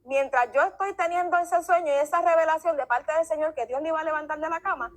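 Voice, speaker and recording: Female testimony guest, high-pitched, emphatic and repetitive, preacher-like fervor. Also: quick pace. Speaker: guest giving religious testimony. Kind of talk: fervent personal testimony.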